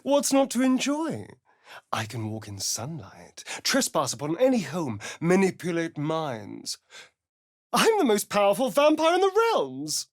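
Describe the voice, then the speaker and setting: Casting: a suave, sardonic male vampire rogue for a fantasy game. Arch and dramatic, very lively pitch, dry close sound. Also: a little slow, dry recording, full character voice. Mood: theatrical, smug. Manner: theatrical, arch, dramatic delivery. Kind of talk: fantasy video game voice acting.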